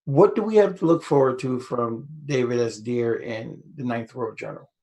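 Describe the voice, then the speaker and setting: Man, average pitch, podcast co-host, casual interview chat, playfully theatrical. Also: normal speed, gravelly, mellow.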